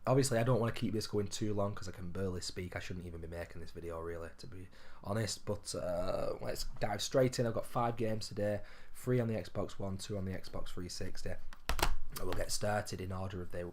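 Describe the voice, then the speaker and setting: Guy, gaming vlogger, very low gruff voice, hoarse from a cold, chatty. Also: brisk, a little hiss or hum. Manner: chatty, addresses viewers as ladies and gentlemen. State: hoarse, losing his voice. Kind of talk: casual game pickups vlog.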